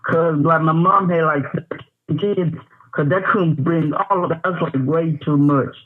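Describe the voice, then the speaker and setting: A man's voice: a little high, steady tone. Rambling man on a tinny phone line. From Tanzania. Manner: rambling, halting, lots of fillers. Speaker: caller.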